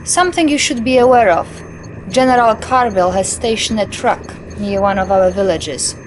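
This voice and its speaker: An actress playing a loyal young Soviet intelligence officer giving video game mission briefings in a crisp, confiding military manner. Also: female voice, medium pitch, moderate pace, slight background noise, close to an everyday voice, low-quality recording. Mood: briefing, alert.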